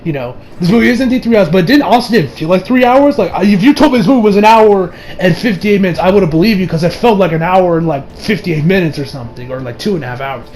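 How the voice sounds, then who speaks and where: A man giving a casual, rambling review in an informal, excitable, sweary way. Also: sits high, fast talker, few pauses, slight background noise. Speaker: YouTube movie reviewer.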